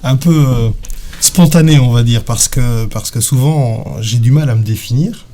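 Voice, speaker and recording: A man's voice: mid-range voice, moderate pace, mellow. A man raised in Belgium, a guest on a talk show, speaking casually and with many hesitations and restarts. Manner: hesitant, many fillers and restarts, laughs often.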